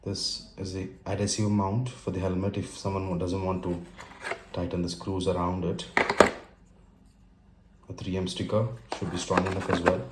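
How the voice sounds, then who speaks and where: A man with an Indian accent narrating an unboxing casually and unscripted, in halting phrases broken by pauses. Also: low voice, little pitch movement. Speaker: tech and motorcycle vlogger. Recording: roomy echo with faint background noise.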